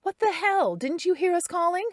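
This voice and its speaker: A woman's voice: fairly high pitch, animated, moderate pace, no echo, studio quality, voice pushed up. Woman voicing a brash, scolding young woman. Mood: angry. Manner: sharp, scolding and loud.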